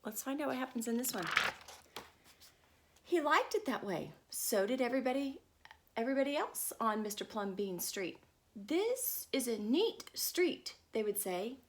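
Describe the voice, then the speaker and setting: A woman reading a picture book aloud to children, expressive and stopping to ask her listeners questions. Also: fairly high pitch, moderate pace. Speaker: storyteller.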